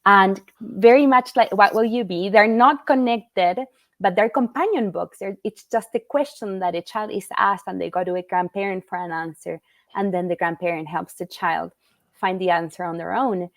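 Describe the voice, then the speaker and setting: A woman's voice: medium pitch, normal speed, dry recording. A middle-aged woman from Argentina, a children's book author answering interview questions in an earnest, thoughtful way with frequent fillers and restarts. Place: at home in Utah.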